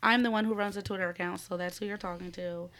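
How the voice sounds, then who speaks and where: A woman with a raspy voice from a cold, co-hosting a casual podcast from a room at home, rambling, laughing and swearing freely. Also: medium pitch, fairly even intonation, fast talker, a bit bright. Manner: profane, giggly, rambling. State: recovering from a cold. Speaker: podcast co-host. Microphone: studio microphone.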